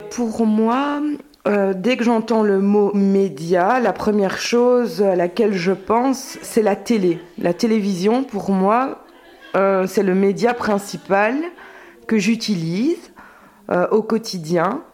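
A woman's voice: medium pitch, takes it slowly, darkish tone. A woman, a community association worker, speaking reflectively with many hesitations. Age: 40s. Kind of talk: reflective interview talk.

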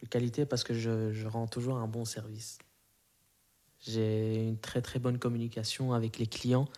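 Young man, hesitant, dark mellow voice. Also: medium pitch, flat delivery, normal speed. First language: Arabic. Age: 20s. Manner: hesitant, many fillers and restarts. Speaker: interviewee. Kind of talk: casual interview answers.

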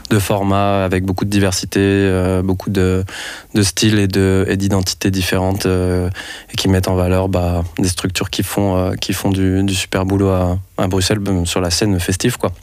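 Hesitant low-voiced Frenchman, flat delivery. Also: normal speed, dry recording. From France. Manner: hesitant, many fillers and restarts. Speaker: festival organizer and network coordinator. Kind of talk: radio interview guest.

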